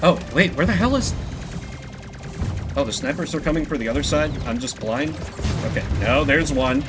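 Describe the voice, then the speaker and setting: A man's voice: fairly high pitch, expressive, moderate pace, music in the background. A man doing casual live gameplay commentary, rambling in a self-deprecating way with casual swearing. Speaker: video game streamer.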